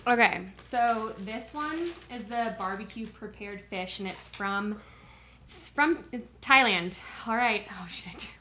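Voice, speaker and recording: This woman speaks in a fairly high voice in relaxed, casual chat. She reacts warily and dramatically to what she tastes. Faint background noise sits behind her. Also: slow pace. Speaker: podcast co-host. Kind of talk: casual snack-tasting chat.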